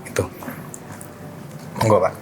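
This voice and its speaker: A man giving a semi-casual spoken testimonial as a training participant. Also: slow pace. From Bogor, Indonesia. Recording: clear background noise, room ambience.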